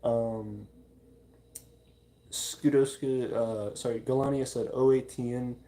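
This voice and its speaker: A man hosting a livestream in a casual, informal chat style, talking haltingly as he reads out and answers viewer comments. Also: medium pitch, steady tone, warm tone. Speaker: livestream host.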